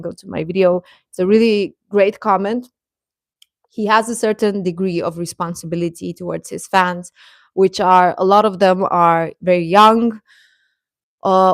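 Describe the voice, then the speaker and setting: Woman streamer chatting casually to her viewers, rambles and repeats for emphasis, mid pitch, close dry sound. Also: no echo. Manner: rambling, halting, emphatic with repetitions. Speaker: livestream host. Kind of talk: casual fan commentary to live chat.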